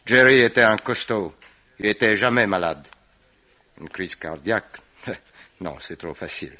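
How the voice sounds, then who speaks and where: An irritable, scared American man in a radio mystery play. His voice is low, gruff and suspicious, with hesitations, on a dull recording. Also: animated, a little slow, pushed and bright. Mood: uneasy, suspicious.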